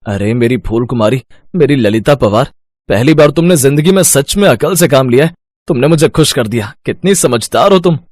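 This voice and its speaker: A man's voice: mid-range voice, animated, normal speed, a little mellow, no echo, studio quality, natural voice. A man playing a jovial, effusive village husband who teases his wife, in an audio story. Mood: delighted.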